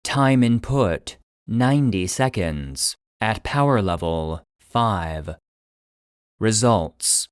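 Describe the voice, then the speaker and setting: A man giving scripted narration in a measured, clinical manner, like a report being read out. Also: fairly low pitch, animated, clear, resonant tone, dry recording, clean studio sound. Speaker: narrator and producer.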